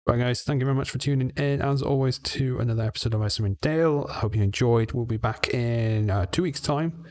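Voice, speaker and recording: A man's voice: mid-range voice, quick pace, a little mellow, no echo. A man from Wales hosting a tabletop game stream, chatting casually and signing off the broadcast. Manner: chatty, thinks aloud while wrapping up. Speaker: stream host and player. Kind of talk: casual chat and stream sign-off.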